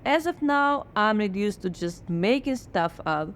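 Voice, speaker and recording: A woman voicing a sardonic playwright in a radio play, firing off dry, deadpan comebacks. Her voice sits at an ordinary middle pitch with a faint hiss behind it. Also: measured pace, lightly acted. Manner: sarcastic, wry, deadpan comebacks. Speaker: writer. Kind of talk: radio drama acting.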